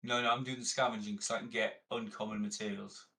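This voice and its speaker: A man's voice: lowish voice, little pitch movement, quick pace, pushed and bright. A man chatting casually as a player at a tabletop game, jokey and sweary, recorded at a distance from the mic.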